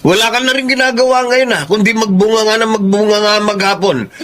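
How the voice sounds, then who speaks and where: A man plays a middle-aged father in a radio drama who quarrels with his wife over money, wheedling one moment and sarcastic the next. His voice sits high and sounds bright and forceful. He grumbles and whines when he doesn't get his way. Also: fairly even intonation, fairly quick. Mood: indignant. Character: bickering middle-aged father, retired seaman.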